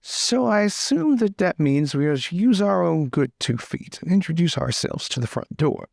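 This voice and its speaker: A man's voice: on the high side, big pitch swings, moderate pace, creaky, a little mellow, no echo, clean studio sound, pitched above the performer's own voice, heavily acted. A man playing a breezy, teasing young lord in a tabletop game, with playful bursts of repeated words. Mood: bantering.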